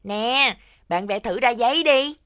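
A woman voicing a gentle magical child friend in an animated series, speaking in a cute, measured way. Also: on the high side. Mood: cheerful.

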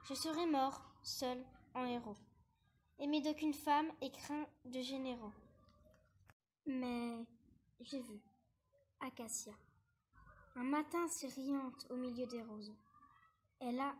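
A woman performing a bitter, ambitious male war minister in dramatic, declamatory theatrical verse, with measured phrasing. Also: high voice, steady tone, breathy edge. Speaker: actor reading a verse monologue.

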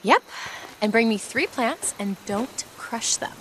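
A woman's voice: a little high, lively intonation, slow, a bit bright, a little hiss or hum, low-quality recording. An American actress playing a playful, teasing young woman scientist in a video game, precise when she explains. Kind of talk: naturalistic cinematic game acting.

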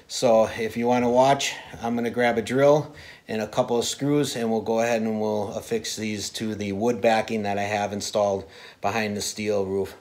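A man in his forties giving a casual how-to explanation to camera in a plain, unhurried, halting way. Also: fairly low pitch, steady tone, a little mellow. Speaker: DIY video host. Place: inside a small unfinished wooden cabin with a steel ceiling.

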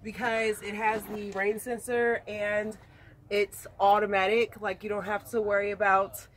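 A woman's voice: medium pitch, flat delivery, a little slow. A woman casually explaining her van setup as its owner, recorded outdoors on a handheld camera. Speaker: van dweller showing her van. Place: outdoors in open desert, in and beside a van. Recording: outdoor camera audio with crowd noise.

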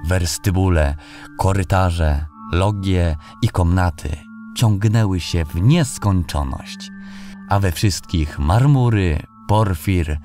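A man reading a scripted fairy tale as an audiobook narrator, in an expressive storytelling manner. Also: sits low. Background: background music.

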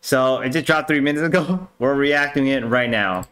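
A young man giving casual, halting reaction commentary as a streamer at his desk. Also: medium pitch, a bit bright. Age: young adult. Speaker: streamer reacting to a game trailer. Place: small room indoors at a desk. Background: faint music.

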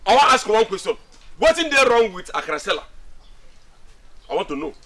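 A man's voice: sits high, very animated, brisk, background noise. A man with a Nigerian accent playing a defensive, scolding young man in a film, speaking emphatically and repeating himself. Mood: annoyed. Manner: repetitive, emphatic, argumentative. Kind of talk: Nigerian film acting in Pidgin dialogue.

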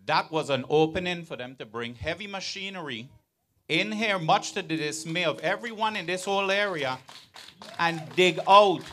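Earnest man, higher voice. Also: moderate pace, pushed and bright. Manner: earnest, building to pointed emphasis. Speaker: resident asking a question. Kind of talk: town hall question. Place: large outdoor venue with audience. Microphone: handheld mic.

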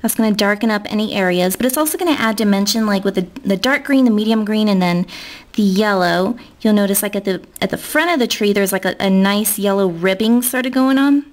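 A woman's voice: mid-range voice, a little fast. A young American woman giving a casual, chatty step-by-step tutorial in an informal way. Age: young adult. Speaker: nail art tutorial host.

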